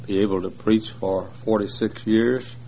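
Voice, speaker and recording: A man's voice: lowish voice, steady tone, normal speed, vocal fry, dark tone. A man in his sixties, a visiting preacher, joking folksily with a large congregation, with many fillers. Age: 60s. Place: large church. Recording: dull lo-fi recording with faint noise.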